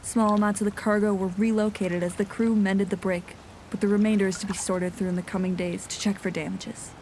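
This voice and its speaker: A woman playing a grave nineteenth-century ship's captain, reading out a log in a formal, measured way for an audio drama. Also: mid-range voice, little pitch movement, darkish tone, a little hiss or hum, natural voice. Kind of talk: scripted audio drama narration. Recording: music under the voice.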